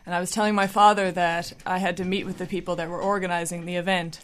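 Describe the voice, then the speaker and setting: A woman's voice: lowish voice, level tone, quick pace. Woman guest, event organizer, friendly promotional radio chat.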